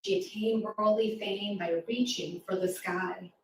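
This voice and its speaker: A woman's voice: medium pitch, steady tone, unhurried. A woman reading rhyming verse aloud to young children at a live storytime, with an encouraging, interactive manner. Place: live reading event in a roomy space. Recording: echoey room, distant mic, faint noise.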